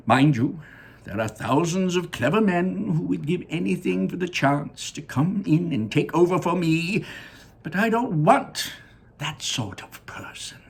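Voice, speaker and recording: Eccentric elderly male candy maker for a storybook, man acting: high, husky, excitable, drawn-out emphasis. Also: heavily acted. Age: older.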